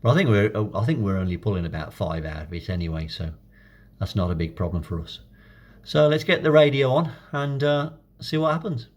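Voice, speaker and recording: A man gives a casual hands-on demonstration, thinking aloud in an unhurried, hesitant way. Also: fairly low pitch, animated. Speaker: hobbyist video presenter.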